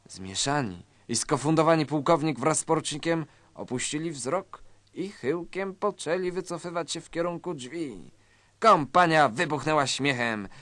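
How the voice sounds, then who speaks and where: A man narrates a satirical story in a scripted, dramatic way. His pitch is average, and the recording is very dry and close, with no room sound. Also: fairly bright tone, no echo.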